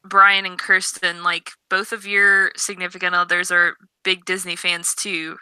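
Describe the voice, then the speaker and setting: A woman's voice: on the low side, little pitch movement, measured pace, pushed and bright, smooth, dry recording, low-quality recording. A young woman chatting on a podcast, peppering her speech with fillers. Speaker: podcast co-host. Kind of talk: casual podcast chat.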